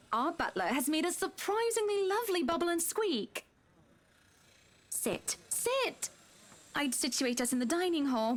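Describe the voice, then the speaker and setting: High-voiced, gushing lady of the manor. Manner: gushing, hostess-like, teasing. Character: gracious, teasing wealthy lady of the manor. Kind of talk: visual novel game voice acting.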